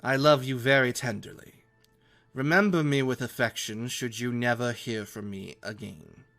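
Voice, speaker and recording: Man reading as romantic male explorer, formal, earnest, medium pitch, storybook letters, faint music behind. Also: moderate pace, fairly bright tone, lightly acted. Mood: yearning, resolute. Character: earnest, romantic young gentleman explorer writing letters home. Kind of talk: live dramatic reading of a classic novel.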